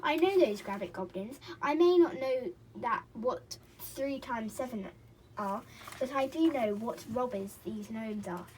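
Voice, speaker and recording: A woman's voice: a little high, animated, a little slow, a little mellow, far from the mic, slight background noise, rough recording. Woman doing a nervous little pixie. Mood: knowing. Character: nervous clever male pixie, small and sly.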